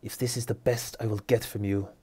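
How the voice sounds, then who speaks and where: A man's voice: fairly low pitch, steady tone, fairly quick, mellow, breathy edge. A man voicing an indignant female priestess who complains sharply and demands action. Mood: curt.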